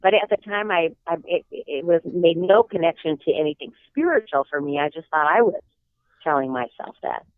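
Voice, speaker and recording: A woman in her sixties with a slight cold-hoarseness, telling personal stories in an earnest, reflective way as an interview guest. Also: fairly low pitch, animated, moderate pace, dry recording. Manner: earnest, reflective, with some hesitant fillers. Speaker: guest author. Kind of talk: interview storytelling. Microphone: telephone. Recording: tinny phone line.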